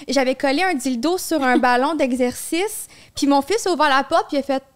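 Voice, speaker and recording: A woman in her thirties with a Quebec French accent, her voice sitting a little high. She speaks openly and laughs often as a podcast guest. She is recorded on a boom-arm studio mic in a small room. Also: brisk. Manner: candid, laughs easily while telling stories. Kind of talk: casual chat. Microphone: studio microphone on a boom arm.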